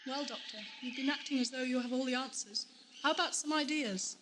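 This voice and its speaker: An actress playing a brisk, sensible female companion in a sci-fi audio drama. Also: high voice, noisy background, lightly acted. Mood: challenging.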